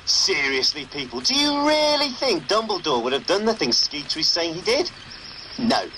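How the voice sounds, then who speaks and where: A man's voice: fairly high pitch, very animated, moderate pace, bright, forceful tone. A male actor playing an upbeat, wry underground radio host in a video game, giving broadcast patter with some noise. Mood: mocking. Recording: faintly noisy radio broadcast.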